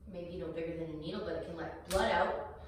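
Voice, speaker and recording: Actress as anxious psychiatric patient, echoey and distant. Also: female voice, on the low side, fairly even intonation, a little fast, lightly acted. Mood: hurt, quiet. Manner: intense, emphatic, dramatic delivery. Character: Tilda, anxious, clinging female psychiatric patient, pleading and volatile. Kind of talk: theatrical stage monologue acting. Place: live stage showcase in a room. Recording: echoey room, distant mic, background noise.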